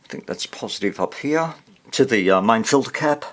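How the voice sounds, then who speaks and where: A man giving a casual hands-on repair demonstration, muttering to himself and pausing between short phrases as he thinks aloud. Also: medium pitch, animated, fairly bright tone. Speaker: hobbyist radio repairer presenting his workshop video.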